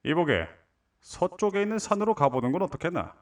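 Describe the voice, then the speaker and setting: A man acts an old-fashioned village elder in a fantasy audio drama. The voice sits high and swings in pitch, offering friendly advice in quaint, avuncular phrasing. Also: sing-song, a little fast. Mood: advising.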